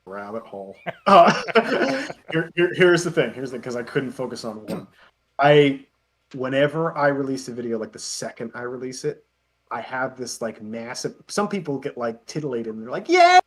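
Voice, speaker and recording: A man chatting casually as an interview guest, telling self-deprecating anecdotes in an animated way. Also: mid-range voice, normal speed. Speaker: interview guest, web parody creator.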